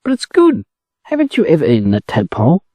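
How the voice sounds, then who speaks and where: An Australian man puts on an adventurous young boy duckling for a children's storybook. The voice jumps high and swoops about eagerly, in a dry, close recording. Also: wildly swooping pitch, fairly quick, warm tone, husky, dry recording, heavily acted. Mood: excited, surprised. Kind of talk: storybook character voice.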